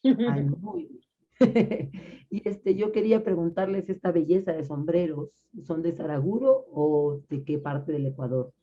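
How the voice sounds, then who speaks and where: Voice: mid-range voice, measured pace. An interviewer asking questions in a chatty, hesitant way with many fillers. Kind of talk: conversational interview. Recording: muffled lo-fi recording.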